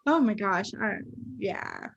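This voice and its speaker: A woman's voice: medium pitch, very animated, takes it slowly, vocal fry, fairly bright tone, dull audio. A woman from Puerto Rico hosting a book podcast, chatting casually with many "like" fillers. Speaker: podcast host. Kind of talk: casual interview chat.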